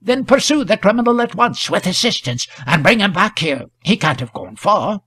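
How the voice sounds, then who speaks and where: A man's voice: sits high, big pitch swings, moderate pace, bright, forceful tone, slightly rough, voice pushed up, full character voice. A man voicing a grim, formidable hangman in a storybook reading, giving peremptory orders in thick tones. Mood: commanding. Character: grim, sinister, commanding hangman gentleman in grey.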